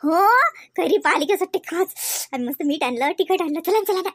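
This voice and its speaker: A woman's voice: extremely high pitch, a little fast, no room sound. A woman voicing a playful, bossy young village wife in a comedy cartoon, coaxing and giggly, with a rural Marathi accent. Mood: eager, coaxing.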